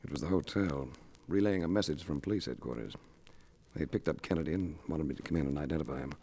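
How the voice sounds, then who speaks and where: An actor playing a wry, hard-boiled private investigator in a 1950s radio detective drama, with a dry manner. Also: male voice, a bass voice, quick pace, vocal fry, dark tone, exaggerated voice. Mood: wry narrating. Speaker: lead and first-person narrator.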